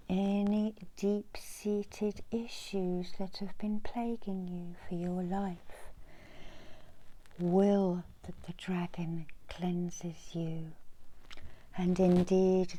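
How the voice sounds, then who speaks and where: A middle-aged woman giving an ASMR guided meditation as a healer, in a soft, breathy, often whispered voice with soothing pauses. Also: fairly low pitch, poor audio. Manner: soft, slow, soothing delivery with long pauses. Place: small indoor room.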